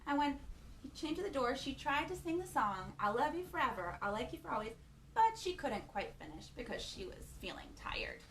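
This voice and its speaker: A woman hosting children's storytime, reading a picture book in an animated, playful way and chatting to young listeners with questions and exclamations. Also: high voice, moderate pace, distant mic, slight background noise, poor audio.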